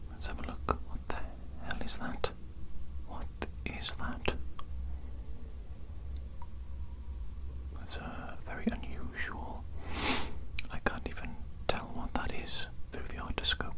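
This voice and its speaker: Woman acting as evil dungeon doctor, steady whisper, callous, condescending, unhurried, ASMR roleplay. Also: lightly acted. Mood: callous, dismissive, then puzzled. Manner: unhurried, conversational, repeats phrases.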